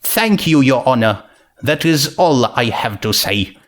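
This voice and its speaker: Storybook pony princess played by a man: young, female, pitched well up, solemn and earnest, formal speechmaker. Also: animated, unhurried, lightly acted. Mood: tearful gratitude. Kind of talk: dramatized storybook reading.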